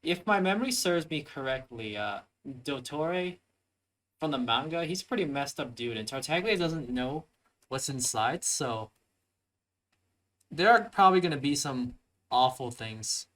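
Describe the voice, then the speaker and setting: A man's voice: medium pitch, expressive, normal speed, fairly bright tone, a little hiss or hum. Young man musing over gameplay. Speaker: let's play streamer. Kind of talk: casual gameplay commentary, reading options aloud. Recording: voice-over with game music behind.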